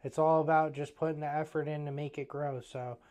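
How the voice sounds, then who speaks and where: A man in his thirties with an Eastern Pennsylvania accent talks shop about his plumbing business. He is blunt and motivational and uses plenty of casual fillers. His voice is smooth and clean, and sits a bit high for a man. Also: flat delivery, brisk, a little mellow. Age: 30s.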